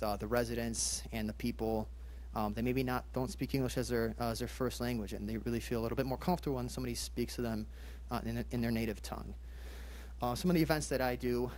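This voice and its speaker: A man's voice: medium pitch, darkish tone, slight background noise. A young man whose first language is Polish, a police officer introducing himself at a community meeting in an earnest, plain-spoken way with many fillers.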